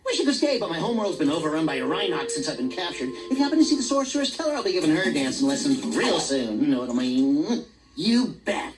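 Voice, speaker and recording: A voice actress playing a hyper, giggly, trigger-happy little critter hero. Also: female voice, low-pitched, big pitch swings, fast, low-quality recording. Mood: cocky. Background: game music.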